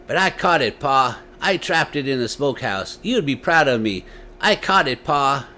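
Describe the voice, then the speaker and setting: A young boy voiced by a man in a spoken story, pitched higher and easily frightened yet eager to be proud. He talks in halting, childish country grammar, over noticeable background noise. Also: very animated, pushed and bright. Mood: proud, excited. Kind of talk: dramatized storytelling.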